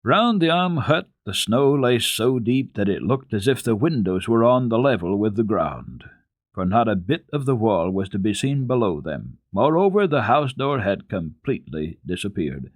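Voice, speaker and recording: A man narrating children's literature as a radio storyteller, reading dramatically in an expressive, deliberate way. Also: lowish voice, dry recording, studio quality.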